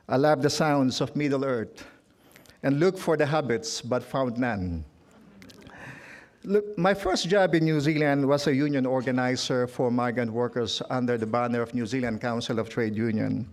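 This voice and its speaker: A middle-aged man from the Philippines with a Filipino accent, giving a formal speech as a union leader at a convention, measured, lifting his voice for quotes and punchlines. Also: medium pitch. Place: large convention hall.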